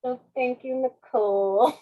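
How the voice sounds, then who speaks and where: A woman's voice: high voice, fairly even intonation, measured pace, phone-quality sound. A woman chatting casually as a guest author, effusive and self-deprecating. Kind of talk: casual question-and-answer chat.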